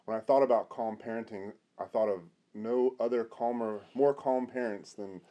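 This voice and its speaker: A middle-aged man, a pastor, hosting an informal conversational interview in a halting way. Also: mid-range voice, warm tone. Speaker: host, pastor.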